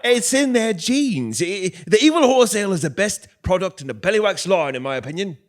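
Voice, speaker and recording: A man's voice: high voice, big pitch swings, brisk. A man playing a proud, naive royal servant, deferential and earnest, in a comedic stage play. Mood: proud, amused. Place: theatre stage before live audience.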